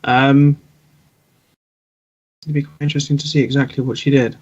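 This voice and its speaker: Husky man on a dull-sounding line. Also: mid-range voice, flat delivery, normal speed, darkish tone. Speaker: podcast panelist. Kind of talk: casual fan discussion.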